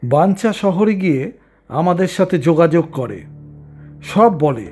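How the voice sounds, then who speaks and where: An authoritative government officer in a village cartoon. The voice is rather high, with a formal, explanatory manner. Light music plays in the background. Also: male voice, lively intonation, normal speed, mellow, breathy edge. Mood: reassuring, official. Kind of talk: Bengali cartoon voice acting.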